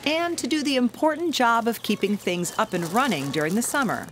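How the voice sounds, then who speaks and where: A woman's voice: on the high side, expressive, fairly quick, a little hiss or hum. A woman narrating a television feature in an upbeat, scripted storytelling manner.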